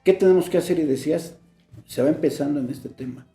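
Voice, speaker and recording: A Mexican man, a local legislator, explaining his political views in a measured way. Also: fairly high pitch, dark tone, soft background music. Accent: Mexican Spanish. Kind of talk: political conversation. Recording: roomy echo.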